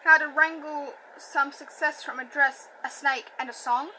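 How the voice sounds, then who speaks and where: A woman reading a novel aloud, halting and self-correcting, with a stutter and a slight lisp. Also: sits high, steady tone, bright, forceful tone, slight background noise, poor audio. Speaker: audiobook reader. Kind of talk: amateur book reading.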